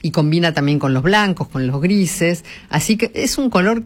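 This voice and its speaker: A woman's voice: fairly low pitch, animated, normal speed. A woman decorator, enthusiastically explaining colours in a casual chat.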